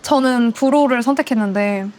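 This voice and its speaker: A woman in her twenties on a blind date show, talking in a polite, measured way. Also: a little high. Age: 20s. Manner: polite and measured, formal speech. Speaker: dating show guest. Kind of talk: casual dating chat. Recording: music bed under the talk.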